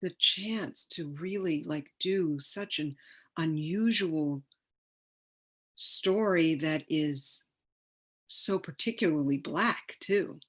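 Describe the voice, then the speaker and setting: A woman's voice: sits low, takes it slowly, fairly bright tone. A woman speaking as a guest in an informal panel chat, reflective, effusive and emphatic as she searches for words. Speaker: stage actor, panel guest.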